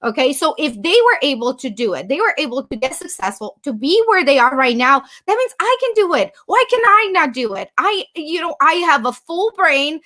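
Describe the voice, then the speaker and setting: A woman guest-hosting a podcast, her voice high and bright. She speaks in an emphatic, motivational way and drives her points home by repeating them. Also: big pitch swings, moderate pace, few pauses. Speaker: guest host. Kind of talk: casual podcast interview.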